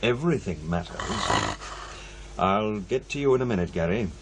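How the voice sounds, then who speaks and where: Older male academic, acted by a man, measured, pedantic and testy, very expressive pitch, audio drama, faint noise behind. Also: lightly acted. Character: shrewd old male professor, ailing but proud ghost investigator.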